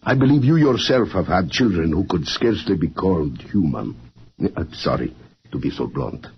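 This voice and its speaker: An actor playing a grave, blunt male council president in a 1950s radio drama, formal and deliberate in speech. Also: sits low, lively intonation. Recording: dull, hissy old radio recording.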